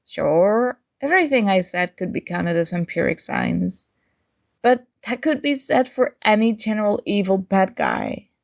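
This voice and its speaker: A woman's voice: mid-range voice, unhurried, vocal fry. A woman playing a playful, nerdy young gamer chatting with her crush in a casual, teasing ASMR roleplay. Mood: earnest, playful. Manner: teasing, animated, conversational. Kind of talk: ASMR roleplay acting, casual conversational chat.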